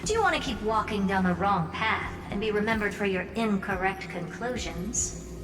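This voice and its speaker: A woman's voice: medium pitch, expressive, normal speed, natural voice. Echoing, imperious woman issuing ultimatums actress performing. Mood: commanding. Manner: haughty, commanding, articulate. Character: imperious, threatening female judge coolly issuing ultimatums. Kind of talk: theatrical video game dialogue. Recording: echoey, distant reverb with music. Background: music.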